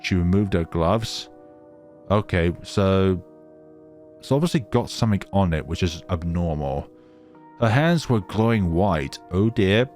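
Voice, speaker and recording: A man's voice: low-pitched, expressive, a little slow, darkish tone, faint music behind. A man hosting a gaming video, reading a visual novel aloud dramatically with casual, wry commentary.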